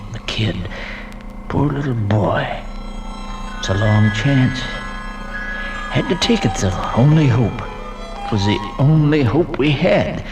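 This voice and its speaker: An actor playing an anxious man brooding in a halting inner monologue in a 1950s radio drama. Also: mid-range voice, natural voice. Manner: halting, fragmented interior monologue. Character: anxious, brooding man musing during surgery. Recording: old radio recording, distant from mic.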